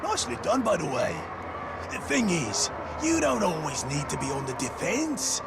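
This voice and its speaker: A man voicing a chatty, bumbling, boastful orc sidekick in a video game, rambling jovially in a gravelly voice. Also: high-pitched, wildly swooping pitch, moderate pace, heavily acted. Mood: chummy, explanatory. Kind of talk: video game character acting. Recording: loud music behind the voice.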